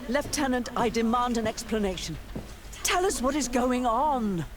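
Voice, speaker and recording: A woman's voice: on the high side, animated, normal speed, close to an everyday voice. An actress playing a haughty aristocratic lady in a video game, speaking in a formal, imperious manner. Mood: indignant. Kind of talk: video game dialogue acting.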